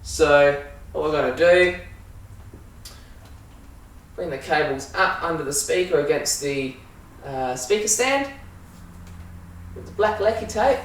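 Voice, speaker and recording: A man with an Australian accent giving a casual, halting hands-on demonstration as a sound engineer while he works. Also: a little high, expressive. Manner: informal, halting, talking while working with hands. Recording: echoey room, distant mic, faint noise.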